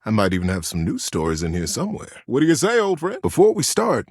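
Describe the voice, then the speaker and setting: A swooping, folksy, kindly teddy bear. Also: male voice, mid-range voice, wildly swooping pitch, fast talker, no echo, studio quality. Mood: cozy, inviting. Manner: gentle, earnest, wholesome folksy storyteller. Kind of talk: video game character dialogue.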